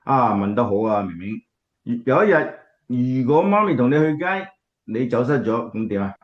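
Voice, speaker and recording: Radio drama role: man playing devout Cantonese grandpa, gentle preaching manner, mid pitch, lo-fi sound. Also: lively intonation, normal speed, natural voice.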